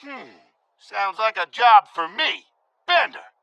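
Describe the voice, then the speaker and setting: A cocky, brash cartoon robot. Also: male voice, high voice, big pitch swings, slow, fairly bright tone, slight background noise, full character voice. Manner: cocky, swaggering. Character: brash boastful male robot, selfish.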